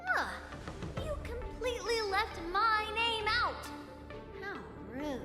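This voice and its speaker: A woman's voice: very high voice, lively intonation, takes it slowly, heavily acted. An actress playing a cocky, teasing young female sword master and mentor in a video game, with a self-assured, dry, mock-formal delivery. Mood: offended. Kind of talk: scripted video game voice acting. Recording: game music behind.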